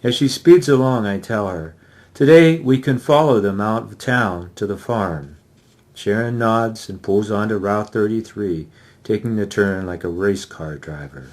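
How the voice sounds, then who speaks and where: Casting: male author-reader for first-person literary fiction, slightly low register. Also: a little slow, a little mellow. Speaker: author reading aloud. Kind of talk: dramatic reading of a first-person novel.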